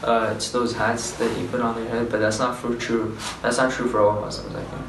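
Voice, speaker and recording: A man's voice: mid-range voice, level tone, brisk, background noise. Teen guy from azerbaijan, lots of uhs, distant echoey mic. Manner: hesitant, with many uhs. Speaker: high school student interviewee.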